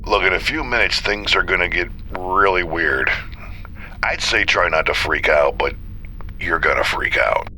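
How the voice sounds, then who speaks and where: A man's voice: sits low, measured pace, pushed and bright, a little hiss or hum, exaggerated voice, low-quality recording. An actor playing a seasoned, casual man in a horror audio drama, dryly reassuring as a guide. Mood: wry, advising. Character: seasoned, wry man guiding a nervous partner.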